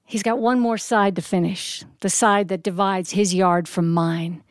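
An American woman telling a story live on stage in an expressive, descriptive manner with deliberate phrasing. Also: mid-range voice, no echo, studio quality. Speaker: storyteller and actress. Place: performance studio.

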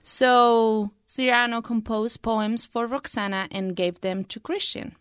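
A woman's voice: fairly high pitch, fairly even intonation, measured pace, a bit bright, clear, resonant tone, dry recording, studio quality. A woman in her twenties, a native Spanish speaker and student, giving a prepared book review. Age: 20s.

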